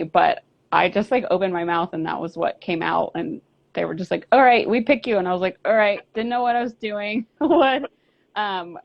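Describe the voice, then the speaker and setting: A woman's voice: fairly low pitch, creaky. A woman musician chatting candidly as an interview guest, rambling with fillers and restarts. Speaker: band singer and bassist, guest. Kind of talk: casual interview chat. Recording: lo-fi dull audio.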